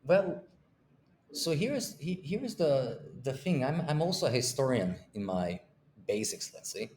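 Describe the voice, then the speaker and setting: A man's voice: medium pitch, sing-song, unhurried, a little mellow, roomy sound, a little hiss or hum. A man in his thirties, born in Bosnia and raised in Sweden, speaking in a thoughtful, casual way with many fillers and restarts as a podcast guest. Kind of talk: casual conversation.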